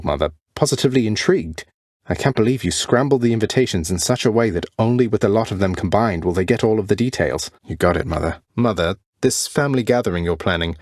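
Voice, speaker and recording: An actor playing a wry, courteous young prince of the underworld in a video game, with dry, understated delivery. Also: male voice, lowish voice, no room sound, lightly acted. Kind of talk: scripted game character dialogue.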